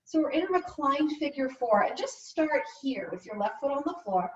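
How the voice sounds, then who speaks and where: Female yoga teacher, soothing and gently instructive, recorded from a distance with slightly muffled sound. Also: high-pitched, big pitch swings, moderate pace, roomy sound.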